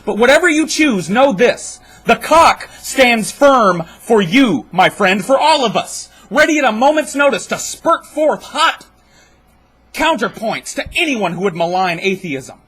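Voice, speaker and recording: Sketch speaker, theatrical and mock-solemn, husky voice, very animated pitch. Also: unhurried.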